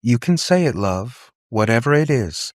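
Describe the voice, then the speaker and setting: A man voicing a caring aunt in an audiobook story, speaking in a gentle, reassuring, concerned way. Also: mid-range voice, big pitch swings, unhurried, dry recording, clean studio sound, voice pushed up. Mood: tender. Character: caring, gentle aunt comforting her young niece.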